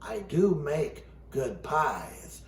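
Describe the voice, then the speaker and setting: A man's voice: on the high side, very animated, extremely slow, gravelly, pitched below the performer's own voice, heavily acted. Man reading as eager storybook housemaid, echoey room. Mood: cheerful, eager. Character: cheerful, earnest, literal-minded housemaid woman. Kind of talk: children's storybook character voice. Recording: echoey room, faint background noise.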